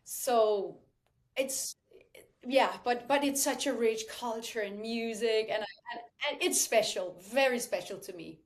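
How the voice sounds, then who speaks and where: A woman's voice: fairly high pitch, unhurried. A woman from Denmark, a Danish native speaker, talking earnestly as a guest singer in a podcast interview, often restarting her sentences. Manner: warm, heartfelt, with restarts.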